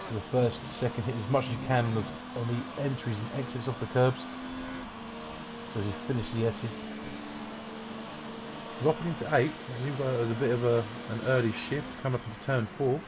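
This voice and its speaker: A man giving relaxed, rambling commentary on an online racing qualifying session. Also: medium pitch, a little fast, very noisy. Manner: relaxed, rambling, self-deprecating asides. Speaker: race commentator.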